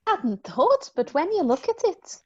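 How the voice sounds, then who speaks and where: Brief: a woman reading a polite, flustered hostess, bouncy high voice, lo-fi sound. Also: sing-song, measured pace, exaggerated voice. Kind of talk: amateur online play reading.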